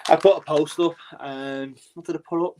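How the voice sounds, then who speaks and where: A man from Cheshire bantering casually with friends, telling jokes and bursting into high laughter. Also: fairly even intonation, moderate pace, mellow, slight background noise, rough recording. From Cheshire, England.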